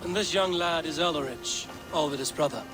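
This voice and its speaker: A woman playing a steadfast, commanding Viking warrior in a historical game, speaking in measured, blunt, formal phrases. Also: low voice, music in the background, natural voice. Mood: measured, advising. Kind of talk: dramatic period game acting.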